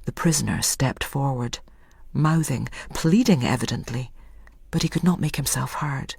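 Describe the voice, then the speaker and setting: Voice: medium pitch, moderate pace, gravelly, darkish tone, slight background noise. A storyteller reading a scripted dramatic story as narration.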